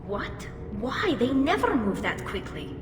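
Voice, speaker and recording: A woman's voice: fairly high pitch, huge pitch swings, measured pace. Young female alien, proud game heroine, long reverb, music underneath. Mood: indignant. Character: young female alien engineer, earnest, proud, indignant at accusations. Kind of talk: video game voice acting. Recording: echoey hall, music underneath.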